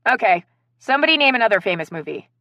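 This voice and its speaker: A woman's voice: on the high side, sing-song, fast, sharp tone, no room sound, highs cut off, pitched above the performer's own voice, full character voice. A woman narrator voicing a theatrical, commanding woman who leads an improv game in a story. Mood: eager, instructing. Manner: theatrical, directing.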